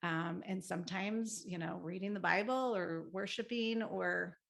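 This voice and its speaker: A woman's voice: on the low side, unhurried, vocal fry. Eager, affirming woman podcast host. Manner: eager, affirming, chimes in with agreement. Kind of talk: conversational podcast interview.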